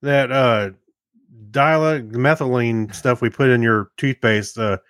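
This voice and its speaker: A man co-hosting a casual tech news show, talking in a relaxed, agreeable way with joking asides. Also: medium pitch, slow pace, smooth, dry recording, clean studio sound. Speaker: podcast co-host.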